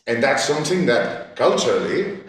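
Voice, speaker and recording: A man, speaking as a panellist in a discussion, halting and restarting, repeating words as he searches for them. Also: medium pitch, very animated, fairly bright tone. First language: Catalan. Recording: echoey gallery room, distant mic.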